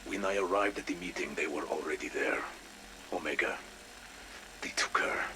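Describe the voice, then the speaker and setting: A grim male informant from a video game with a low, hard-edged voice. He is terse, guarded and ominous, over faint background noise. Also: moderate pace, bright, forceful tone, low-quality recording. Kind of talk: video game spy drama acting.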